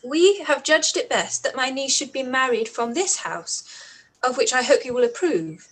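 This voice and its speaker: A woman's voice: high-pitched, lively intonation, normal speed, a bit bright, slightly rough, exaggerated voice, rough recording. A woman playing an earnest, outspoken young Regency woman in a period radio play, with formal diction. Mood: reading aloud, surprised. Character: Lizzy, anguished, outspoken young Englishwoman of Regency times.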